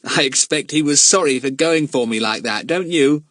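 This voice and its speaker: A man's voice: fairly high pitch, normal speed, no echo, studio quality, voice pushed up, lightly acted. A man voicing a cheery boy who chatters on in a storybook reading. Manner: bright, chattering.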